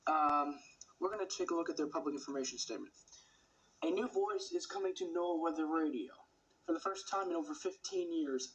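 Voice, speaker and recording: A man's voice: fairly high pitch, a little hiss or hum, low-quality recording. A man giving casual video commentary and reading announcements aloud. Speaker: video host.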